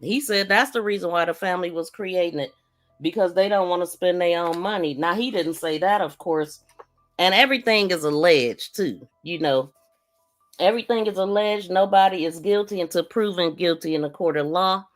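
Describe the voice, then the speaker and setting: A woman's voice: lowish voice, normal speed, faint music behind. A woman hosting a live true-crime commentary stream, talking informally and directly to her viewers in a casual, conversational way. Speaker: livestream podcast host.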